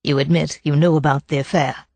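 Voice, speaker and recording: A calm, methodical male chief inspector, as a woman reader voices him, asking questions in a steady, probing tone. The voice sits low and slightly husky in a dry close recording. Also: dry recording. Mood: pressing. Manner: measured, probing.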